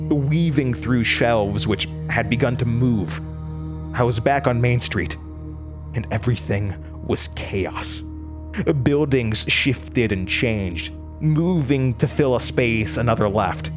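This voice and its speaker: An actor playing a haunted young male college student with an American accent, narrating horror in a deliberate, intense, dramatic way. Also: mid-range voice, lively intonation, music in the background. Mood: haunted, suspenseful. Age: young adult.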